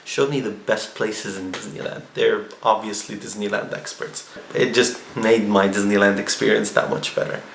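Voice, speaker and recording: A man's voice: lowish voice, vocal fry, fairly bright tone. A man casually recapping his trip in a chatty, rambling vlog. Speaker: vlogger. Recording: echoey room with faint ambient noise.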